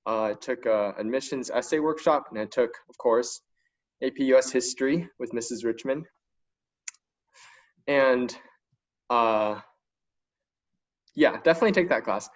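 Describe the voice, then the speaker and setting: A man's voice: mid-range voice, a little slow, long pauses. Dull-sounding recording of a young man, casual. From China. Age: young adult. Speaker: student panelist. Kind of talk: casual spoken testimonial. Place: online video conference call. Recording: dull lo-fi online call audio.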